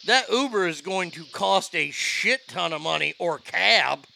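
A man hosting a casual talk show, ranting in an animated, profane way. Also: sits high, measured pace, vocal fry. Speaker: host.

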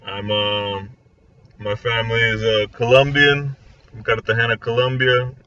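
A man's voice: mid-range voice, level tone, unhurried, sharp tone. A man in his twenties with an American accent, talking casually in a conversation. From Florida, United States. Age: 20s. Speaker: recent architecture graduate in conversation. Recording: dry but distant, muffled mic.

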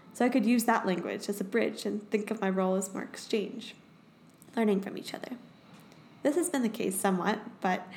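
A young woman with an American accent, hosting a podcast in measured, thoughtful scripted narration. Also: medium pitch, a little mellow. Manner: measured, thoughtful, reads in short phrases. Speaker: podcast host and English teaching assistant.

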